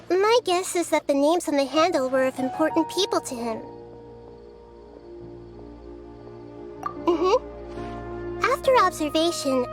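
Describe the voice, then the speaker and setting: A woman voicing a young girl goddess who is gentle, curious and wise, speaking softly and thoughtfully in a game with music behind. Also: high-pitched. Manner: soft, thoughtful, patient. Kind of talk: game voice acting.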